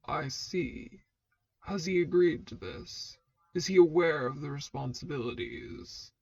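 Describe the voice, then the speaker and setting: A woman voicing a stern male dark lord and commanding mentor, speaking in a formal, measured, authoritative way for a fan fiction reading. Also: deep voice, no room sound, lo-fi sound, pitched below the performer's own voice.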